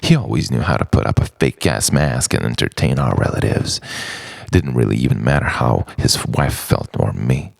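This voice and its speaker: A man with an extremely low, creaky voice performs an introspective monologue. He moves slowly through short, halting phrases, with dramatic swings in pitch. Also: lively intonation, no echo. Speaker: narrator of own written reflection. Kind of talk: introspective spoken-word monologue.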